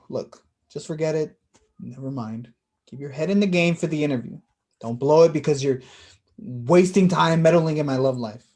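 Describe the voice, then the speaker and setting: A man's voice: on the high side, a little slow, long pauses, darkish tone. A man playing a defensive, wounded blind brother in a staged play reading, blunt and sarcastic. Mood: irritated.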